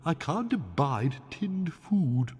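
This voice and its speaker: An actor voicing a haughty, exasperated dragon aesthete with an affected, theatrical British drawl in a video game. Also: male voice, a little high, sing-song, slow, darkish tone, slightly rough, full character voice. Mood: condescending. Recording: long hall-like reverb.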